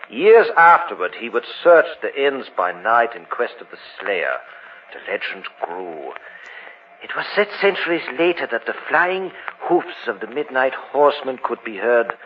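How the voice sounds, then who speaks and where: An actor playing a fearful, reluctant art dealer in an old radio horror play, formal and portentous. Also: male voice, medium pitch, big pitch swings, measured pace. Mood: ominous, solemn. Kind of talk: old-time radio drama acting. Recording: muffled old radio recording with hiss.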